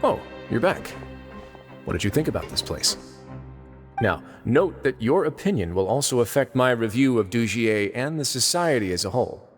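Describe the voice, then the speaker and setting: An actor playing a composed, authoritative prison administrator in a video game, measured and coolly philosophical. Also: male voice, mid-range voice, sing-song, natural voice. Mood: casual, assured. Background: game music.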